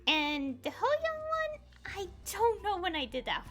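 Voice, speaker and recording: A woman with a high, bright voice talks casually over a game as its streamer. Her pitch moves around in a lively way as she rambles through explanations and corrects herself. Faint music plays behind her. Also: unhurried. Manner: rambling, self-correcting explanations with repeated reminders. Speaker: gaming video creator and streamer. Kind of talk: casual pre-recorded gameplay commentary.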